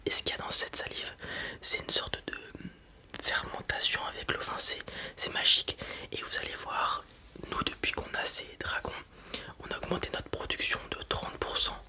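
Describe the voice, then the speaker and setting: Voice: normal speed, bright, forceful tone. A person whispers an ASMR roleplay as a breeder advising a customer, explaining informally step by step with hesitations and little check-ins.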